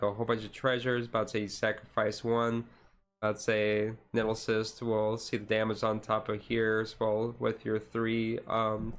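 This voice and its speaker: Male streamer, laid-back and rambling, informal slangy chat, little pitch movement. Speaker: livestreamer. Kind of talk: casual livestream chat.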